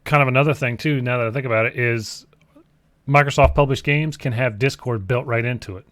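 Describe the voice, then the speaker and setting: A man's voice: mid-range voice, a little fast, fairly bright tone, dry recording. A man co-hosting a gaming show, chatting casually and bluntly, with swearing and repeated phrases for emphasis. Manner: blunt, profane, emphatic repetition. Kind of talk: casual chat about video games.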